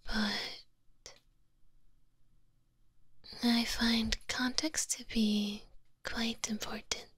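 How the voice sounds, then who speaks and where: A woman playing a haughty, coaxing female vampire in a breathy whisper for an ASMR roleplay, delivered deliberately and theatrically up close to a binaural microphone. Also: fairly high pitch, little pitch movement, fairly bright tone, dry recording, full character voice. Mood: softly coaxing.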